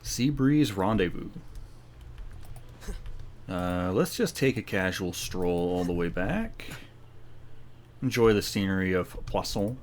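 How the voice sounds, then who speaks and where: Male gaming streamer: lowish pitch, easygoing and jokey playthrough chatter, slight noise behind. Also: slow pace, pauses often, a little mellow. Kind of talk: casual playthrough commentary.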